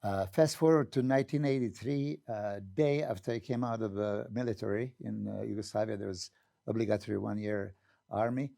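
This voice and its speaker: A man's voice: on the low side, a little fast, gravelly, mellow, no echo, studio quality. A Serbian man in his sixties with a Serbian accent, a former pro bodybuilder telling stories as a guest, earnest and full of hesitant fillers. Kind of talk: storytelling interview answer.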